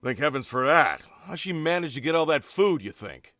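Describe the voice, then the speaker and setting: A wisecracking ghost hunter played by a man with a bright, slightly high voice. He is sarcastic and skeptical, recorded dry in audio drama style. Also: very animated, moderate pace, dry recording. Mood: sarcastic, teasing.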